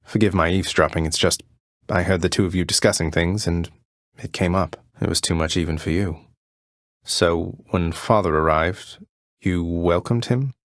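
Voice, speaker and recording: An actor playing a young, rebellious prince of the underworld in a video game, earnest and wry, with polite understatement and dry sarcasm. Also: male voice, low-pitched, normal speed, no room sound. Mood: subdued, earnest. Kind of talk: video game character voice lines.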